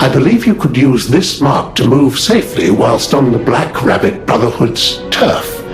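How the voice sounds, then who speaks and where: A fastidious puppet butler for a video game. His delivery is formal and deferential, with lively swings in pitch. The voice rings in an echoey room with music playing behind it. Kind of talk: scripted video game dialogue. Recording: roomy echo.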